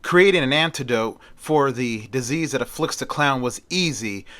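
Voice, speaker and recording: A man voicing a cold, menacing scientist supervillain with a deliberate, formal manner as part of a story. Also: mid-range voice, expressive, fairly bright tone, natural voice. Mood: cold explaining. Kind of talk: amateur storytelling character voice.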